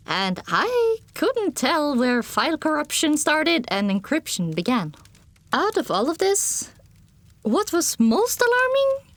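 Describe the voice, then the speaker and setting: Animated woman narrating an audiobook. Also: on the high side, no room sound. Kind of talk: expressive first-person fiction narration.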